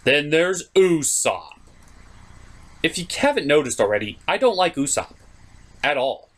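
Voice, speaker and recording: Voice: mid-range voice, very animated, unhurried. A host doing a scripted comedic video review in an opinionated, sarcastic, mock-exasperated way.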